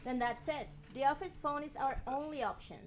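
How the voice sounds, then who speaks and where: A woman's voice: high voice, fairly quick, lightly acted. A woman playing an urgent, determined scientist in an amateur radio play table read. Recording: echoey room with faint noise.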